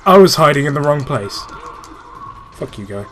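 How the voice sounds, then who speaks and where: A man's voice: on the high side, lively intonation, moderate pace, a bit bright, soft background music. A man giving casual, informal gaming commentary as a let's play host, swearing freely and explaining technical details.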